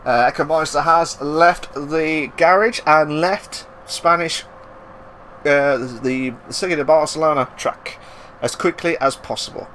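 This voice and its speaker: Excitable high-voiced male race commentator. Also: unhurried, a little hiss or hum. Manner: excitable, bursts into loud exclamations. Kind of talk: live esports racing commentary.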